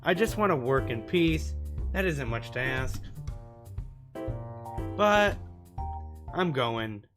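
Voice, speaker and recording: A man's voice: mid-range voice, animated, takes it slowly, pauses often, music in the background. A man voicing a cold, bored young female art student from a visual novel, curt and sardonic. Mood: annoyed. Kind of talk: visual novel character read aloud.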